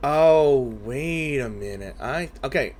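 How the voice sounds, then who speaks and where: A man hosting a gaming video, commenting casually and haltingly as he reasons aloud over game music. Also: fairly high pitch, lively intonation, a little mellow. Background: faint game music.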